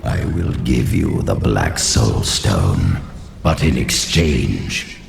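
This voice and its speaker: An actor playing a resurrected treacherous mage in a video game cutscene, sneering and scornful. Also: male voice, very deep voice, big pitch swings, slow, faint music behind, heavily acted. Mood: sly. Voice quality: gravelly, sneering.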